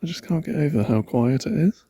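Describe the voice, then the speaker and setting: Mellow-voiced man musing outdoors. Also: fairly high pitch, big pitch swings, a little fast, breathy edge, dry recording. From Wales. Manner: quiet, musing, talks to himself. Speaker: vlogger exploring outdoors. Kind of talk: casual hiking vlog narration. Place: outdoors in hills and woods.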